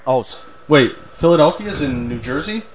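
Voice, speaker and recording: A man's voice: a little high, expressive, moderate pace, over a noisy crowd, rough recording. A man hosting a casual call-in show, chatting loosely and often repeating words as he works into his point. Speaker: host of a pinball show.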